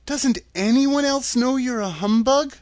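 A man's voice: extremely high pitch, unhurried, a little mellow, no room sound, studio quality, voice pushed up. A man voicing a bold, earnest young farm girl in a storybook, plain-spoken and insistent. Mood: surprised.

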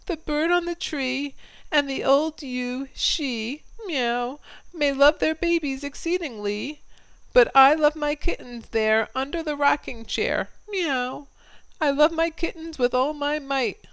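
A woman voicing a gentle mother cat, cooing softly and high over her kittens. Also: slow, no room sound, full character voice, rough recording. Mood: tender.

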